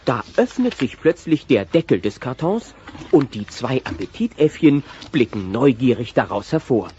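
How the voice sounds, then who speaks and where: A man's voice: medium pitch, sing-song, moderate pace, dark tone, slight background noise. A man narrating a scripted audio drama for listeners. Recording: muffled cassette transfer.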